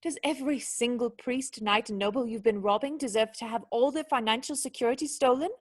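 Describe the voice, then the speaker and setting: A woman plays a young noblewoman in a radio drama, high-pitched and proud. She scolds in articulate, cutting phrases, indignant and wounded underneath. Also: fairly quick, lightly acted. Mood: challenging. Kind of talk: radio play acting.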